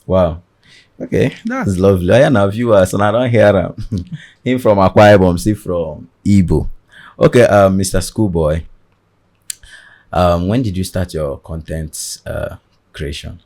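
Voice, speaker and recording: A man with a Nigerian accent hosting a studio podcast interview, with a formal, deliberate presenter delivery. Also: low-pitched, darkish tone. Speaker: podcast host.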